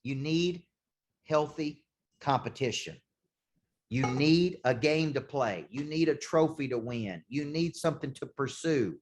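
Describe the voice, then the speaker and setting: A man, a sales coach, giving an intense motivational talk with punchy repetition, slowing down to hammer key points. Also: medium pitch, animated, darkish tone, slight background noise. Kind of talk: motivational talk to a show host. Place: condo.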